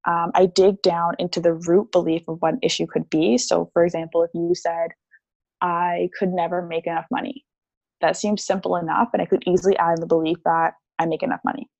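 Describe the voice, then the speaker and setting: A woman's voice: lowish voice, little pitch movement, brisk, creaky, a little mellow, no echo, lo-fi sound. A young woman talking as a podcast guest about her healing practice, chatty and casual, with many fillers. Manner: chatty, explains with lots of "like" and "um".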